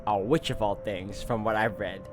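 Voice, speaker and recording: A man's voice: lowish voice, steady tone, moderate pace, background noise. A man doing a let's play, reading a visual novel aloud and adding casual asides as he thinks aloud. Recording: music behind the voice.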